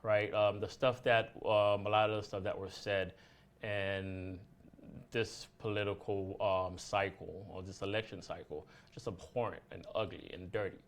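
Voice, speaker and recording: A man's voice: fairly low pitch, flat delivery, a little slow. A man from Haiti, a guest on a talk show, speaking earnestly with many ums. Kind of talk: casual chat.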